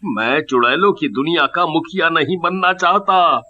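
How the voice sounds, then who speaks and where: A man's voice: a little high, moderate pace, pushed and bright. A man playing a frightened, pleading village merchant in a cartoon moral story, dramatic in delivery. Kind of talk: animated Hindi moral story acting. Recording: dull lo-fi audio.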